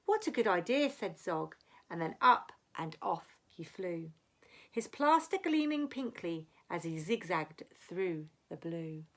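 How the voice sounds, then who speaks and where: Female storybook reader, teacher-like: deliberate rhyming delivery, very lively intonation, unhurried. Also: lowish voice. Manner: dramatic, deliberate phrasing. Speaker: teacher reading aloud. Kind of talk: expressive children's storybook reading in rhyme.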